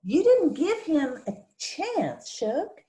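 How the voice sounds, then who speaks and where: A woman's voice: high voice, huge pitch swings, slow, warm tone, full character voice. Woman playing small town single mom, middle aged, plain spoken and protective, echoey room. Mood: exasperated. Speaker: actor in a screenplay table read. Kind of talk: dramatic screenplay table read. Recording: roomy, echoey sound.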